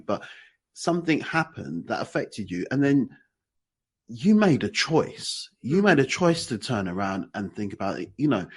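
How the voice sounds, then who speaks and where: A man co-hosting a casual conversation with a croaky morning voice, rambling and emphatic, often repeating his phrases. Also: mid-range voice. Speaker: podcast co-host.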